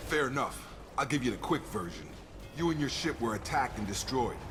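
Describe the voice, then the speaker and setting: Man voicing steady sci-fi soldier, easygoing, direct briefing, cinematic game dialogue, background score. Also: mid-range voice, a little fast, over music, natural voice. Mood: matter-of-fact. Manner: matter-of-fact, direct. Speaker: game character voice actor.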